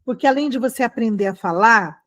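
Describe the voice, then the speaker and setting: A woman's voice: a little high, brisk. Brazilian woman teaching, encouraging and chatty. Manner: animated, encouraging, chatty with frequent check-in questions. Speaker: online English teacher. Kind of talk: conversational lesson addressed to students.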